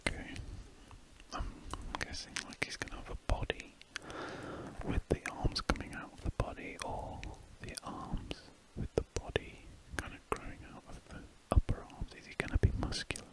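A British man speaking in a breathy, unvoiced whisper, musing aloud in unhurried fragments in an ASMR style. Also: rough recording. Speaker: ASMR artist. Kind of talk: whispered ASMR rambling while drawing. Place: indoors at a desk.

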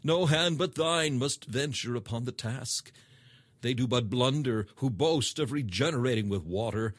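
A man reading a scripted sermon as audiobook narration in a formal, measured, emphatic manner. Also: mid-range voice, slightly rough, no room sound. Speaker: sermon reader.

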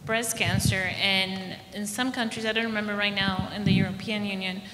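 A woman in the audience asking a panelist a slow, halting question. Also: medium pitch, steady tone, heavy background noise. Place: large conference ballroom. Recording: echoey hall with long reverb.

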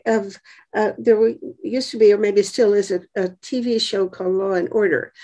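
An elderly woman in her eighties interviewing someone on a video call, thinking through her questions in a halting, conversational way. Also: fairly high pitch, a little mellow, rough recording. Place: dim book-lined room at home. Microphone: computer mic on a video call.

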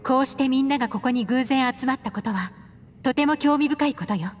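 Actress as a poised, enigmatic woman, high-toned. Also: close to an everyday voice. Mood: resolute. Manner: poised, measured, feminine speech. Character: composed, worldly, enigmatic adult woman investigator. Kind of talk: video game voice acting. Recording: long hall reverb, music behind. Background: music.

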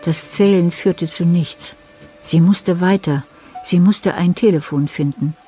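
A woman narrating a radio play from a script in a measured, matter-of-fact way. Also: on the low side, dark tone, soft background music.

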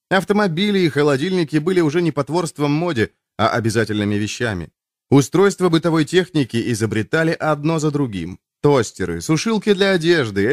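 A man reading a nonfiction audiobook in an expressive, measured, scripted narration style. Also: a little high, no echo, studio quality.

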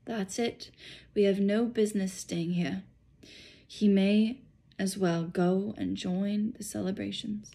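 A woman voicing a calm, wise, gently resolute old man wizard in a storybook. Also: medium pitch, slow, creaky. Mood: resigned. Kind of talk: storybook character reading.

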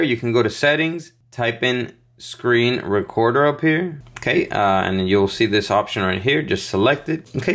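A man presents a tech tutorial in a casual, instructional way. His voice sits at an average pitch. He walks through each step like an online host talking to viewers. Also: fairly bright tone.

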